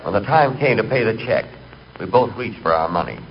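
An actor playing a nervous, hapless middle-aged man who tells his misadventures with deadpan earnestness in a 1940s radio comedy. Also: fairly low pitch, brisk, a loud crowd. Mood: storytelling. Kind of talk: 1940s radio comic monologue. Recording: dull, noisy 1940s radio recording.

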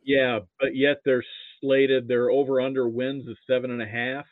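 A man's voice: mid-range voice, little pitch movement, normal speed, no room sound. Podcast guest: male American sports fan, conversational and hesitant, heard over a tinny, dry phone-like connection. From Nebraska, United States. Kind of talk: casual sports talk. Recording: muffled phone-like line.

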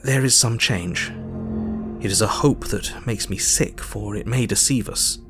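A man's voice: lowish voice, over music, lightly acted. A man playing a sober doctor narrating. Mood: troubled. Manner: measured, sober storytelling. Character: male doctor narrating his journal, sober and steady. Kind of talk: audio drama narration.

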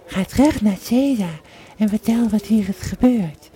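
Man putting on a high, urgent Roman warrior woman. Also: measured pace, mellow. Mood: urgent, desperate. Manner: urgent, high strained delivery. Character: desperate, brave female Roman soldier Calpurnia.

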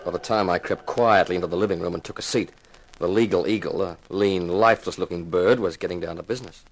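An actor playing a tough, wry insurance investigator, narrating in 1950s radio detective style. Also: male voice, deep voice, steady tone, quick pace, rough recording. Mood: brooding, wry. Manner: wry, laconic, dramatic storytelling. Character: tough hard-boiled male insurance investigator.

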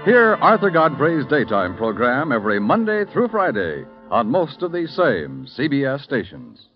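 A man's voice: medium pitch, huge pitch swings, moderate pace. A man delivering an upbeat 1950s radio network promo in a lilting announcer manner. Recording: dull old radio recording with music.

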